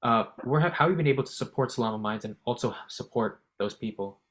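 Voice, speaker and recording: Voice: medium pitch, animated, fast, a bit bright. A podcast host asking long, rambling questions and repeating words while framing them. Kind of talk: casual interview questions.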